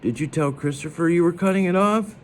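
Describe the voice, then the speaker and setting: A man's voice: fairly high pitch, fairly quick, a little hiss or hum, pitched above the performer's own voice, lightly acted. A man voicing an indignant teenage older sister with a snotty, accusing manner in a storybook.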